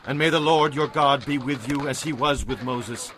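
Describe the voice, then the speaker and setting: A man's voice: medium pitch, normal speed, noisy background, close to an everyday voice. A man playing a resolute ancient Israelite swearing solemn oaths in a dramatized audio Bible. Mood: loyal, solemn.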